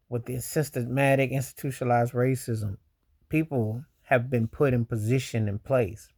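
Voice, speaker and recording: A man delivering opinionated spoken commentary as a vlog host, emphatic and deliberate, stretching out the words he stresses. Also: lowish voice.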